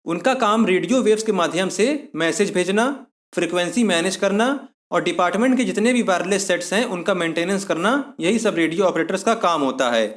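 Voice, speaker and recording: A man's voice: sits high, brisk, few pauses. A man giving an explanatory lesson as an exam-prep instructor, methodically restating points in simpler words.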